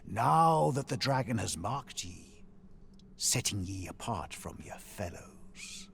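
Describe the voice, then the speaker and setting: An actor playing a weary, solemn former hero in a fantasy video game, with grave, archaic, measured delivery. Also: male voice, fairly low pitch, lively intonation, creaky, a bit bright, slight background noise, full character voice. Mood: grave, resigned. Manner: grave, archaic, measured storytelling with sighing line endings. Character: weary, solemn former hero, husk of a fallen chosen one.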